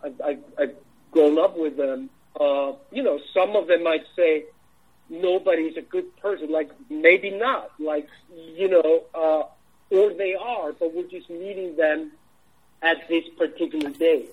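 A man from Iceland with an Icelandic accent, a film director answering interview questions in a halting way, full of restarts. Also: fairly high pitch, slightly rough, phone-quality sound. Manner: halting, many restarts and fillers.

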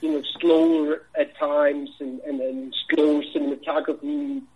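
A man from Iceland with an Icelandic accent, a film director answering interview questions in a halting way, full of restarts. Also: a little high, steady tone, husky, narrow, tinny audio. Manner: halting, many restarts and fillers.

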